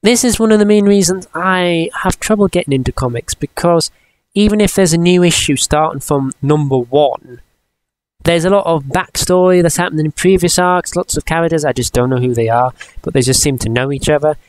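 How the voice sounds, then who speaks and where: A man of twenty-one giving a casual, chatty comics review for a vlog, rambling and often halting mid-sentence. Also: a little high, no echo, low-quality recording. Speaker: vlogger.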